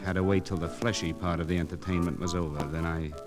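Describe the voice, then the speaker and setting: A man plays a terse, world-weary male newspaper reporter with a low voice. He delivers wry, hard-boiled noir lines in 1940s radio style. It comes from an old transcription disc, with some music behind. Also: fairly even intonation, fast, lightly acted. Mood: wry, brooding.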